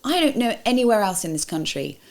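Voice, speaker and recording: Lively woman telling stage stories. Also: mid-range voice, a little fast. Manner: lively, teasing, laughs through stories. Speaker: pantomime actress. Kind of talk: anecdotal interview.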